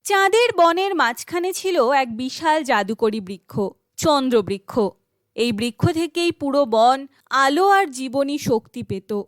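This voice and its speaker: A woman narrates a children's fairy tale from a script. Her voice is high, and the recording is dry, with no room sound. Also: expressive, unhurried, no echo.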